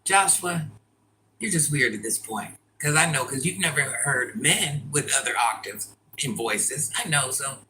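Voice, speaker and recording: A middle-aged woman with a deep voice, chatting casually and bluntly as she answers viewer comments in a livestream. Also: expressive, unhurried, bright, forceful tone, a little hiss or hum, poor audio. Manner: blunt, sassy, talks straight to commenters.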